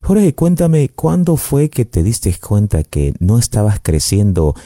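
Deep-voiced interviewer, dry close sound. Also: male voice, big pitch swings, a little slow, dark tone, no room sound. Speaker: radio interviewer. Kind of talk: interview questions.

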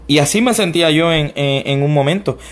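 A man's voice: a little high, fairly quick. A young adult man with a Puerto Rican accent, telling his life story as an interview guest, with many restarts and a self-deprecating manner. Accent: Puerto Rican Spanish. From Puerto Rico. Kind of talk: personal testimony interview.

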